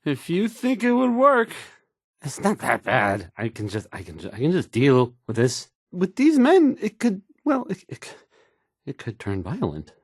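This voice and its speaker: Casting: timid young game-world DJ, anxious and awkward, halting stammer, pitch jumping all over, dry sound. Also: male voice, wildly swooping pitch, breathy edge, no echo, exaggerated voice. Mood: anxious, hesitant. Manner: stammering, hesitant, nervous, trailing off. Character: timid, awkward young male radio host, anxious and insecure.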